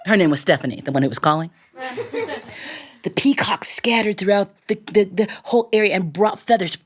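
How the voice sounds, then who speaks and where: A woman storyteller performs live in a theatrical, expressive way. Her pitch moves widely as she plays up each turn of the tale. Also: gravelly, breathy edge.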